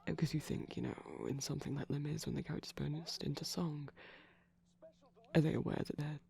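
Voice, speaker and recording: A soft, breathy ASMR voice at a medium pitch, with plenty of creak in it. The speaker rambles and explains like a shy, geeky boyfriend watching a film, often sinking into whispers. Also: steady tone, brisk. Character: shy pastel vampire boyfriend, gently geeky. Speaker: ASMR performer, playing a shy boyfriend character. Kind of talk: soft rambling ASMR commentary over a movie. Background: TV playing in the background.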